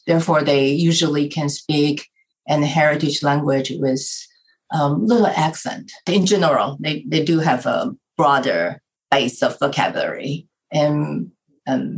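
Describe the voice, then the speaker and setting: Low-voiced woman from Taiwan. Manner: careful, with frequent ums. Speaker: researcher and panelist. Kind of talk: panel discussion answers.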